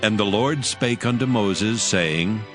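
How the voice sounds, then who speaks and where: Guy narrating scripture, lowish voice, bit of music behind him. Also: slow. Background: background music.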